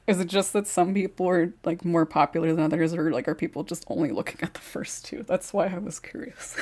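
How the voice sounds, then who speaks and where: A woman's voice: fairly low pitch, fairly quick, dark tone. A woman hosting a casual online panel chat, chatty and laughing between questions. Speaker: podcast host.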